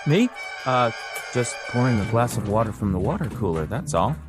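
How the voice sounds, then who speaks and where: A man's voice: medium pitch, very animated, normal speed, music in the background, close to an everyday voice. An actor playing a meek, nervous male office underling in a radio drama, hesitant and deferential. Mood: nervous, apologetic.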